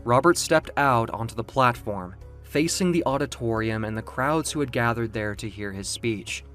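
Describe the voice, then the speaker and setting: A man's voice: medium pitch, normal speed, fairly bright tone, soft background music. A man reading scripted, dramatic fiction as the narrator of an audio story.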